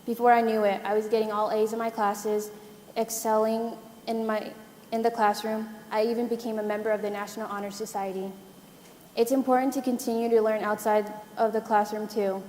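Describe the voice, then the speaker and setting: A woman's voice: on the high side, flat delivery, fairly quick, darkish tone, a little hiss or hum. Earnest teen girl reading a prepared speech. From United States. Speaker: student mayor, panelist. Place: conference hall. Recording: echoey conference hall.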